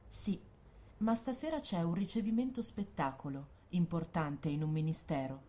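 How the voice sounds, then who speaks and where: An actress playing a dutiful, naive, robotic official escort in a dystopian science-fiction radio drama, formal and literal in manner. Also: female voice, lowish voice, moderate pace, dark tone, close to an everyday voice. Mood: formal, matter-of-fact.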